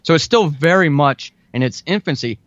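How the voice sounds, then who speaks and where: A man's voice: on the high side, lively intonation, normal speed, a bit bright, no room sound. A man in his forties talking casually and earnestly as an interview guest, with an enthusiastic manner. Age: 40s. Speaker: guest, fiction and audio drama writer. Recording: dull, lo-fi band-limited audio.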